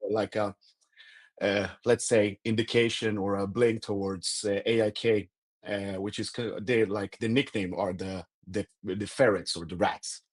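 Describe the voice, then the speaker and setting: A man with a Swedish accent, a native Swedish speaker, chatting as a podcast guest and pausing often to search for words. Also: lowish voice, steady tone, breathy edge. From Sweden. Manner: halting, repeats words while searching for English phrasing. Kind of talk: casual conversation.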